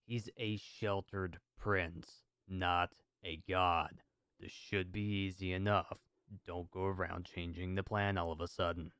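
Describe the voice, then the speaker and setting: A man's voice: sits low, flat delivery, measured pace, fairly bright tone, clean tone, dry recording. An actor playing a confident male assassin in an audio drama, curt, dismissive and self-assured. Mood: firm.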